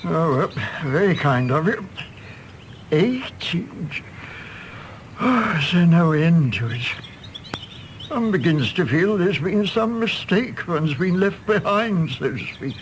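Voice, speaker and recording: Radio play: grumbling old man, slightly high voice, unhurried, self-deprecating, some background noise. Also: animated. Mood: weary, self-pitying. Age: older. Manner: grumbling, rambling, repeating himself.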